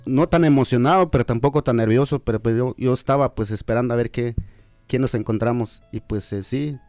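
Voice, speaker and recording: Halting man from Guatemala, dark voice. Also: medium pitch, no room sound. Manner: halting, many filler words. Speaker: missionary. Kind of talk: hesitant testimony.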